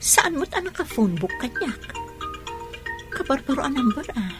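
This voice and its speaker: A woman's voice: high-pitched, sing-song, normal speed, music in the background. A woman playing an indignant, defiant young wife with an Ilocano Filipino accent in a radio drama. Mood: defensive, worried.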